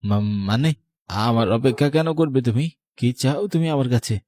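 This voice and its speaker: A nervous young man played by an actor in a horror cartoon, mid-pitched and recorded dry. He is jumpy and frightened, stammering questions into the night. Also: moderate pace, dark tone, dry recording, close to an everyday voice. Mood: startled, nervous.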